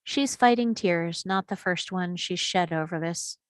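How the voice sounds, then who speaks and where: A woman's voice: lowish voice, a little slow, no echo. A woman reading scripted screenplay action and scene headings as a narrator at a table read. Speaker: stage directions reader.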